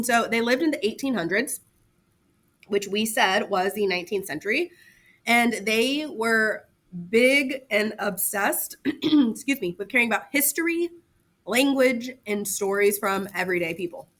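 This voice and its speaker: A woman's voice: on the high side, expressive, a little slow, fairly bright tone. A woman teacher giving a casual lesson to students, talking informally with slang and chatty asides and repeating key terms.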